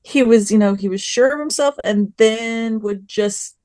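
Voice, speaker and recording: Expressive woman on a podcast panel. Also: on the high side, a little slow, no room sound. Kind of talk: casual review chat.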